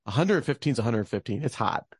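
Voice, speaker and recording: A man of medium pitch hosts a casual interview. He asks friendly questions and keeps his replies short. The recording is dry and close. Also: animated, quick pace, husky, no echo. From Minnesota. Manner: friendly, brief, laughs often. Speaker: podcast host.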